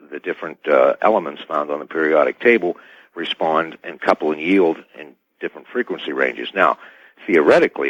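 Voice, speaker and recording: A man with a very deep voice explains technical matters as a radio guest. His wording is formal and cautious. The audio is dull and band-limited, with a dry sound free of room echo. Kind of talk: spoken technical explanation in an interview.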